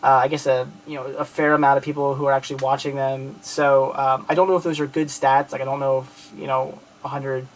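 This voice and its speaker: A man's voice: medium pitch, level tone, a little fast, few pauses, slight background noise, low-quality recording. A man in his late twenties from outside Philadelphia, talking casually to camera about his movie collection, rambling with many fillers and restarts in a friendly, grateful way. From outside Philadelphia, Pennsylvania.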